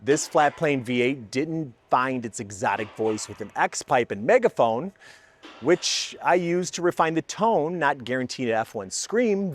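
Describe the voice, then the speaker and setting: A man hosting a car testing video, explaining things in an informal, enthusiastic way with emphatic phrasing. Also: fairly high pitch. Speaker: host of a car exhaust testing channel. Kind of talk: scripted narration and casual explainer to camera.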